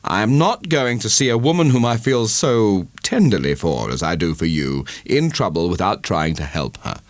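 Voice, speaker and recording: Man acting a tender, earnest suitor, animated delivery. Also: on the low side, normal speed, no room sound, lightly acted. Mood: tender, insistent. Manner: earnest, pleading. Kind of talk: dramatised audiobook reading.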